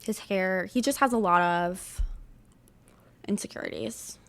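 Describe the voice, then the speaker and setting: A woman co-hosting a casual political chat podcast, talking haltingly and peppering her sentences with "like". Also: mid-range voice, a bit bright. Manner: halting, heavy use of "like". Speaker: podcast co-host.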